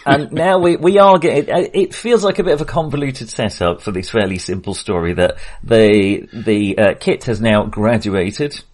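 A man's voice: mid-range voice, expressive, normal speed, few pauses, a little mellow. A man casually discussing a film as a podcast co-host, in a hesitant, rambling way.

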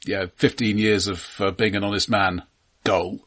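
A man co-hosting a podcast, discussing a film casually, halting and repeating his words. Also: lowish voice, little pitch movement, fairly bright tone. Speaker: podcast co-host.

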